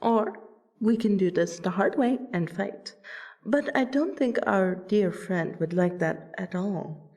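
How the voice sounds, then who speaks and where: Woman acting a slow, creaky-voiced vampire princess. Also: mid-range voice, darkish tone. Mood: firm, bargaining. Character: female vampire princess, protective, proud, sardonic, self-conscious. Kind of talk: ASMR audio roleplay acting.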